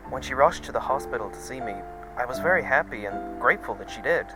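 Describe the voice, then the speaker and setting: A man playing a hesitant, apologetic teenage boy in an audio drama, speaking in a halting, earnest way. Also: mid-range voice, expressive, over music, close to an everyday voice, rough recording.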